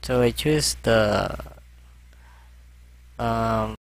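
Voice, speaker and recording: A man narrating a screen-recorded music software tutorial, halting as he tries settings. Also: medium pitch, gravelly, no echo. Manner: halting, hesitant commentary as he clicks through settings.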